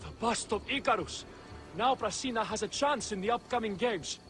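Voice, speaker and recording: High-voiced earnest young son. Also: male voice, moderate pace, a bit bright, soft background music. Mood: relieved. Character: earnest, weary young son pleading for family honour. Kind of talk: video game cutscene acting.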